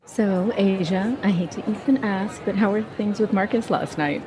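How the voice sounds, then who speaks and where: A woman's voice: mid-range voice, normal speed, lightly acted, poor audio. An actress in an audio drama playing a candid, chatty career woman confiding in her friends. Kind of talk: contemporary audio drama acting. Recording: crowd and outdoor urban ambience behind.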